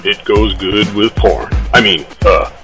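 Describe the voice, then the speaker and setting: A man's voice: fairly low pitch, a little slow. A man delivering a short scripted comic announcement in the style of a radio promo. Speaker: recorded comic promo speaker. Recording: voice over loud music bed. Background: music.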